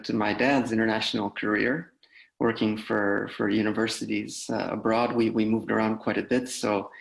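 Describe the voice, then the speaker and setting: A man's voice: on the low side, gravelly, rough recording. A man in his thirties from Poland, Polish his first language, giving a loose, filler-heavy career talk on a video call. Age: 30s. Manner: relaxed, loose, with many fillers and restarts. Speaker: guest speaker, data analyst.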